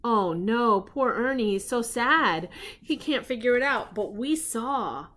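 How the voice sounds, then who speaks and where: A middle-aged woman reads a picture book to young children in her living room. Her pitch moves around a lot as she slips between narration and chatty questions. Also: slow. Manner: animated, questioning, child-directed. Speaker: grandmother reading picture books to children. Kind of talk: storybook read-aloud with casual asides.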